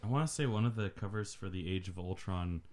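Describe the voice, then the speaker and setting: Low, mellow-voiced man bantering. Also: brisk. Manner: joking, riffing banter. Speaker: podcast co-host. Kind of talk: casual film commentary chat.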